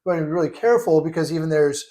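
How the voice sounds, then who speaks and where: A man's voice: on the high side, rapid-fire, mellow. A man co-hosting a casual chat, earnestly clarifying points and often restarting his sentences. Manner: earnest, stresses being clear, restarts often.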